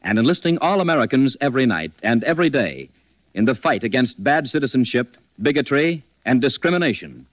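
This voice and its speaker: Confident detective host, very lively deliberate delivery, dull old radio sound. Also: male voice, medium pitch, no echo. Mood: formal sign-off. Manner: polished, deliberate. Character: confident gentleman detective hosting a mystery show. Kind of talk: 1940s radio acting. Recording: dull vintage 1940s radio recording.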